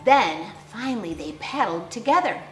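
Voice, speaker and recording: A woman storyteller reading a picture book aloud to young children with theatrical, deliberate phrasing and dramatic emphasis. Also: mid-range voice, lively intonation, fairly bright tone, a little hiss or hum. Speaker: children's storyteller, clown character host. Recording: roomy echo, faint music behind.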